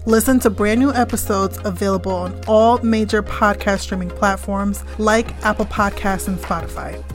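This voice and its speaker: A young woman hosts her own podcast in a bubbly, outspoken manner, swearing casually. Her voice sits at a middle pitch, with faint music behind her. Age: young adult. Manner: bubbly, blunt, uses profanity. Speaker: podcast host.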